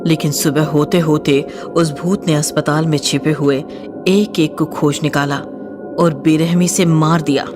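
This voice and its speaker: Low-voiced woman narrating horror. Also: fairly even intonation, unhurried, over music. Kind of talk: dramatic scripted horror narration.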